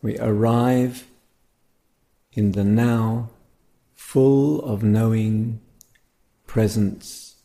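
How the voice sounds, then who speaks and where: A man guiding a meditation as a teacher, speaking gently and contemplatively in short phrases separated by pauses. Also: on the low side, fairly even intonation, gravelly. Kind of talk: guided meditation talk.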